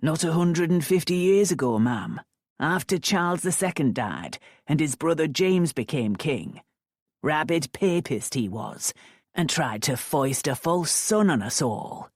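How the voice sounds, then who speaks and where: A woman's voice: deep voice, expressive, measured pace, darkish tone, breathy edge, no echo, clean studio sound, full character voice. A woman voicing a grey-haired older man with a pipe who lectures and argues persistently. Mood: lecturing. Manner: lecturing, argumentative.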